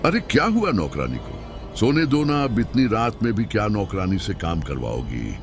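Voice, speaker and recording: A man's voice: on the low side, huge pitch swings, moderate pace. A man voicing a skeptical young husband in a cartoon, dismissive and exasperated with his wife. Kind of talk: cartoon voice acting. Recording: loud background music.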